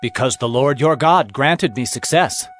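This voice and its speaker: A man's voice: mid-range voice, measured pace, a bit bright, natural voice. A man playing a cautious, deceiving younger son in a dramatized Bible. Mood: guarded, dutiful. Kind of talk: dramatized Bible audio acting. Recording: music under the voice.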